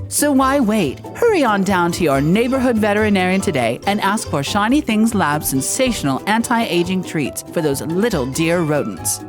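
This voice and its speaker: A woman playing a pushy commercial announcer in an exaggerated, theatrical and salesy radio advert style. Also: fairly low pitch. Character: bright-spirited pushy commercial announcer selling dubious products. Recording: music behind the voice.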